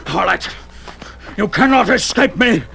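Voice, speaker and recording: An actor playing a furious, savage barbarian warrior who bellows oaths and threats in a fantasy audio drama. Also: male voice, high voice, expressive, measured pace, vocal fry, bright, forceful tone, slight background noise, full character voice. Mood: enraged. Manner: oath-swearing, bellowing, menacing.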